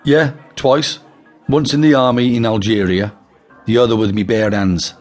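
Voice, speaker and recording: A man's voice: medium pitch, fairly even intonation, normal speed, soft background music, pitched above the performer's own voice, natural voice. A man voicing a nervous, lying male crook from Birmingham who talks eagerly and pushily, with a Birmingham accent. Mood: urgent. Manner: eager, pushy, blurting.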